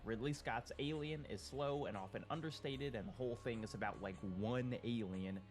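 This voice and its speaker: A man's voice: mid-range voice, normal speed, soft background music. A man giving commentary as a video essayist.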